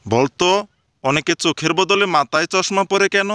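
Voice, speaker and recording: A man's voice: sits high, normal speed, pushed and bright, smooth, no room sound. A man voicing a stern, commanding schoolteacher in a comedy cartoon. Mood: quizzing. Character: stern male schoolteacher quizzing his cheeky pupils.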